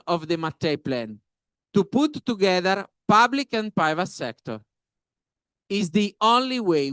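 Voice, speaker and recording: A man with an Italian accent speaking on a panel in halting, emphatic non-native phrases. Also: high voice, a bit bright, clean tone, no echo. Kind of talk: panel discussion remarks.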